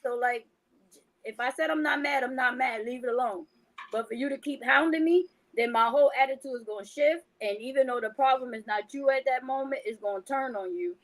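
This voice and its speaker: A woman from New York co-hosting a podcast, with a high, childlike voice and a blunt, forceful way of talking. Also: brisk, low-quality recording. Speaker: podcast co-host. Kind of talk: casual chat.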